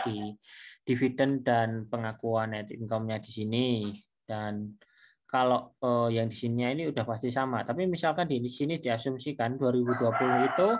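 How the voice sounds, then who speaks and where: Man explaining, often searching for words. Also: mid-range voice. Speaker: accounting lecturer. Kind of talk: informal recorded lesson, explaining step by step.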